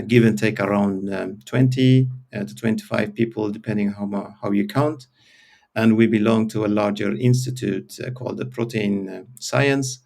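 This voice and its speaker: A man in his forties from Eritrea and Sweden, a professor explaining things in an interview in a measured, hesitant way with many pauses. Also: fairly low pitch, gravelly, darkish tone. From born in Eritrea, grew up in Sweden.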